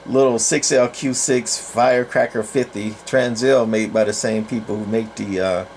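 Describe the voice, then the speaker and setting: An older man giving a casual, unscripted equipment demo in a rambling, folksy, halting way with long pauses. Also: on the low side, steady tone, darkish tone, slight background noise. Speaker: presenter, CB radio collector.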